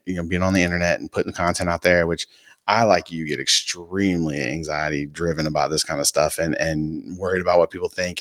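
A man's voice: sits low, no echo, clean studio sound. A man guesting on a podcast in casual chat, laid-back and matter-of-fact, with some teasing. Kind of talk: casual conversational interview.

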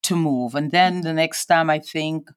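A woman's voice: low voice, dry recording, clean studio sound. Reflective older woman from Guyana. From Guyana and Trinidad. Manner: hesitant, reflective, many pauses and fillers. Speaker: guest. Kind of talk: personal interview.

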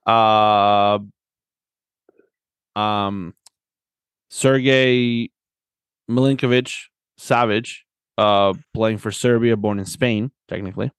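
A man's voice: lowish voice, steady tone, drawn-out words, long pauses, clear, resonant tone, no echo. A man in a casual sports discussion, co-hosting as a coach and often checking in for agreement. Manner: conversational, often seeks agreement with tag questions. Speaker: podcast host and soccer coach.

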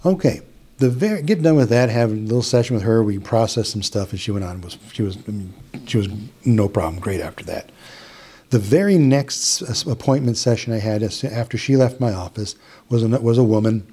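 Mellow-voiced Midwestern man telling a story. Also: fairly low pitch, a little fast, husky. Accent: Upper Midwest American. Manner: chatty, with frequent restarts and quoted dialogue. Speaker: hypnotist guest. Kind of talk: casual storytelling in an interview.

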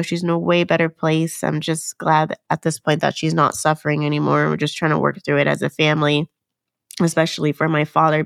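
Female podcast host, lowish gravelly voice, nasal from a cold, earnest and gentle, dry close mic. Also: dry recording. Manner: gentle, earnest, many fillers. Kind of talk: casual solo podcast talk.

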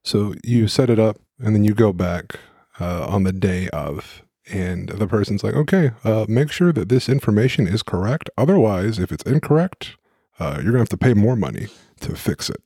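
A young man co-hosting a podcast, chatting casually with dry, teasing deadpan jokes. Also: on the low side, moderate pace, husky, dry recording. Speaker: podcast co-host. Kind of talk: casual chat.

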